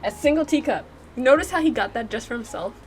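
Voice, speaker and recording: A woman's voice: fairly high pitch, expressive, fast talker. A woman hosting a gaming channel, casually reacting and narrating with playful, chatty asides. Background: music.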